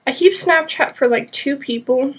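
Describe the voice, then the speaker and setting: A woman's voice: high voice, normal speed. A woman with a stuffed-up nose chatting casually and rambling on a live knitting stream, with many ums.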